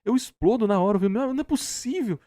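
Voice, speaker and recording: A man's voice: sits high, expressive, rapid-fire, warm tone, dry recording, clean studio sound. A Brazilian man giving casual, opinionated commentary in an emphatic, slangy way, as a reaction host. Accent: Brazilian Portuguese.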